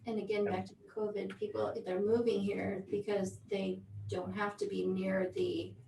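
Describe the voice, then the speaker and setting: A woman's voice: mid-range voice, little pitch movement, gravelly, mellow. A woman from a small town in Washington State, chatting as an interview guest in an agreeable, easygoing way. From small town near Mount Rainier, Washington, USA. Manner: agreeable, quick to laugh. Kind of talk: casual interview chat. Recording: roomy sound, mic at a distance, faint noise.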